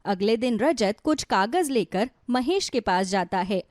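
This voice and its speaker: A woman's voice: a little high, expressive, a little slow, a little mellow, dry recording, clean studio sound. A woman narrating a scripted moral story as its storyteller.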